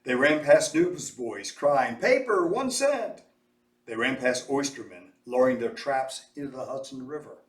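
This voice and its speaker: A man reading a children's story aloud with animated, emphatic, dramatic delivery. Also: medium pitch, moderate pace, few pauses. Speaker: storybook reader. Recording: echoey room, distant mic.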